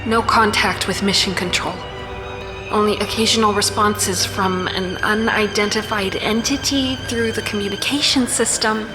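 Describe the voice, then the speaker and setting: An actress playing an earnest chronicler of space colonists, in documentary-style dramatic narration. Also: female voice, medium pitch, fairly even intonation, moderate pace, lightly acted. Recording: music under the voice.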